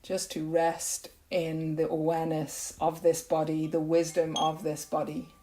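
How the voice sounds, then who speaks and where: A woman yoga nidra teacher leading a guided meditation in a gentle, unhurried, soothing way with long pauses. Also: sits low, monotone, low-quality recording.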